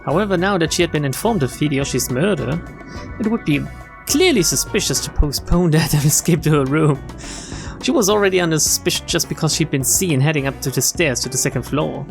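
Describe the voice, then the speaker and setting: Expressive male streamer reading over music. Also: fairly high pitch, fairly quick, vocal fry. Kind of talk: reading game narration aloud with casual commentary. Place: game stream with music behind.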